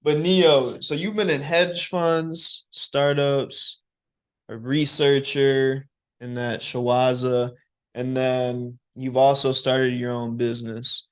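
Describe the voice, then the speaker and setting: A man's voice: medium pitch, smooth. A young man hosting a student interview show, asking encouraging questions. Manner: slow, even, encouraging questions.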